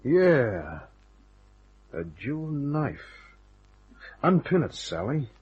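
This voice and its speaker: A man's voice: mid-range voice, very animated, slow pace, darkish tone, breathy edge, heavily acted. An actor playing a wry, tough private detective in a radio drama, wisecracking and hard-boiled, who also narrates his case. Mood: urgent. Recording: dull, band-limited old radio recording.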